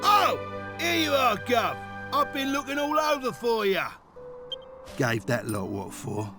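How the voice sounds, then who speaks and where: Rough Cockney bandit sidekick, high-pitched for a man, animated, colloquial, loyal, loud music behind. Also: a little slow, exaggerated voice. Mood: excited, relieved. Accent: British, Cockney. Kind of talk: video game character acting.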